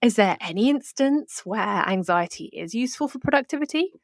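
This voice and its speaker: A woman's voice: fairly high pitch, animated, fairly quick, no room sound, clean studio sound. A woman hosting a conversational interview, engaged and chatty, thinking aloud as she leads into her questions. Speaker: interviewer, host.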